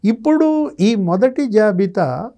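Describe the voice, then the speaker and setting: High-pitched, mellow middle-aged man. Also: lively intonation, takes it slowly. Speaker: senior journalist, guest analyst. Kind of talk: conversational political commentary. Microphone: lapel mic.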